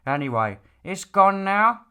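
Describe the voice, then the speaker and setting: A man's voice: sits high, very animated, unhurried, sharp tone, clear, resonant tone, voice pushed up, full character voice. Stern, nagging father, acted by a male reader, clean resonant voice, lecturing, bossy audiobook dialogue. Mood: matter-of-fact. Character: stern, nagging, exasperated father of a demon slayer.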